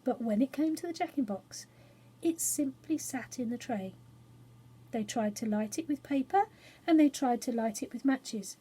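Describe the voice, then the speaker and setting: Husky, higher-pitched English woman reading for children. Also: lively intonation, moderate pace, mellow. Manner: chatty, self-deprecating, explains things simply for children. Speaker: storyteller reading aloud to children. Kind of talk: informal chat and storybook reading for children. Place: small spare room study at home.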